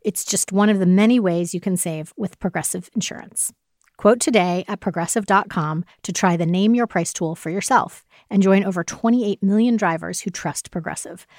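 Woman doing a scripted ad read, medium pitch, super dry booth sound. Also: normal speed, a little mellow, dry recording. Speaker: podcast ad reader. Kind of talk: scripted conversational advertisement read.

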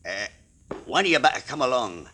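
A man's voice: mid-range voice, sing-song, brisk, sharp tone, a little hiss or hum. An actor playing a sly old male stable-keeper who lures men into a stall, in a 1940s radio drama, with a folksy drawl that hides menace. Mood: gruffly polite. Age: older.

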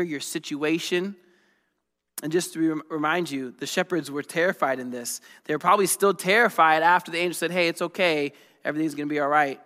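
Bright-voiced pastor guy, fairly high voice, fired up and chatty, big hall echo. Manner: energetic, conversational, asks the crowd questions. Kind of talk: sermon. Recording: long reverb of a large hall.

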